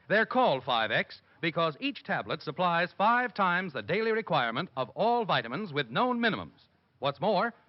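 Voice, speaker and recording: A male announcer delivers a scripted vintage radio commercial. His fairly high voice is emphatic and moves animatedly in pitch, with muffled period sound. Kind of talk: 1950s radio advertisement read. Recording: muffled old radio recording.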